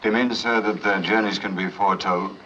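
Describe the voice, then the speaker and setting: Casting: a sober whaling mate, very low voice, restrained and earnest, muffled vintage film drama sound. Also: male voice, steady tone, fairly quick, bright, forceful tone. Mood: curious, respectful. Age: middle-aged. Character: sober, principled, steadfast whaling ship's first mate. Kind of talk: 1950s film drama acting. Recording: dull, noisy 1950s film soundtrack.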